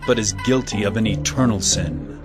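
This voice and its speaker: An actor playing Jesus as a calm, authoritative male teacher in an audio drama, with a measured, solemn delivery. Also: fairly low pitch, natural voice. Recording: music bed, slightly distant mic.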